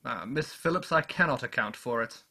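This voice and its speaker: A man playing an assured, dismissive astronomy professor in an old-time radio drama, pedantic and measured in his phrasing. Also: medium pitch, lively intonation, pushed and bright, exaggerated voice. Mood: assured, amused.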